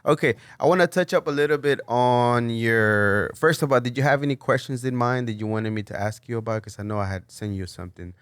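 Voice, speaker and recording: A man's voice: mid-range voice, fairly quick, hardly any pauses, clean tone, dry recording, studio quality. A man from Mexico hosting a podcast, asking easygoing interview questions and backing up his guest with short replies. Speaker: podcast host.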